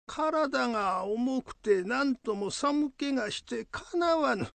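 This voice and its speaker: A man's voice: extremely high pitch, lively intonation, takes it slowly, gravelly, fairly bright tone, no echo, exaggerated voice. A man playing an old Buddhist head priest in a radio drama, fussy but fond, with grumbling, old-fashioned elderly speech. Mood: weary.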